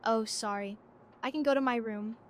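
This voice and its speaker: A woman playing a shy, withdrawn, apologetic teenage girl in an audio drama, speaking quietly and timidly. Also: a little high, moderate pace, lightly acted. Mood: timid, uneasy.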